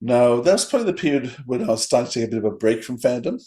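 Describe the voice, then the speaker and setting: A man's voice: medium pitch, expressive, fast, warm tone. A man co-hosting a fan podcast, presenting facts and chatting. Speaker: podcast co-host. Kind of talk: trivia read-out and fan chat.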